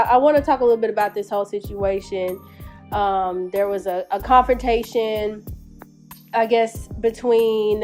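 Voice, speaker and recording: A woman's voice: medium pitch, a little mellow. A woman YouTuber with a stuffy nose from a cold, chatting casually to her viewers, rambling with lots of fillers and restarts. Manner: rambling, with many fillers and self-corrections. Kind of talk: casual commentary vlog.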